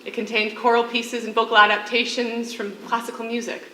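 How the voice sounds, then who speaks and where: A woman acting in a stage play as a defiant condemned prisoner and devoted mother, speaking in earnest, deliberate phrases. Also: a little high, flat delivery, pushed and bright. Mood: tender. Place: theatre stage, live performance. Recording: echoey stage recording with faint noise.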